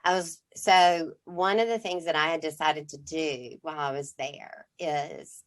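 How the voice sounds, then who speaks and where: Middle-aged woman, podcast co-host, low-pitched and unhurried, chatty storyteller who acts out quotes. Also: steady tone. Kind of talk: casual reflective chat.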